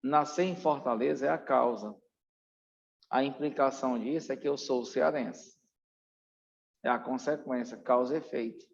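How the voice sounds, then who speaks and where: A man's voice: medium pitch, moderate pace, gravelly, pauses often. A Brazilian man giving an exam-prep lecture as a teacher, in a didactic, emphatic way that repeats key points. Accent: Brazilian Portuguese. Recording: dull, muffled, long room reverb.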